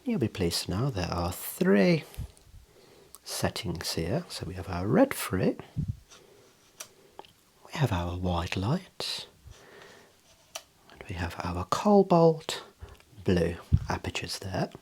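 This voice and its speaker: A soft-spoken, whispery ASMR voice in a role play as an eye clinician, gently and deliberately explaining equipment. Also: low voice, big pitch swings. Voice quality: breathy, whispery.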